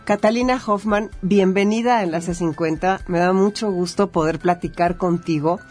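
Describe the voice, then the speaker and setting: A middle-aged woman co-hosting a TV talk show, chatty and quick to laugh. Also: lowish voice, soft background music. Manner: warm, chatty, laughing easily. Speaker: TV show co-host.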